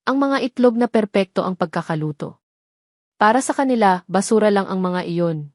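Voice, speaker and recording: A woman's voice: medium pitch, lively intonation, normal speed, darkish tone, no room sound, clean studio sound. A woman reading scripted dramatic story narration.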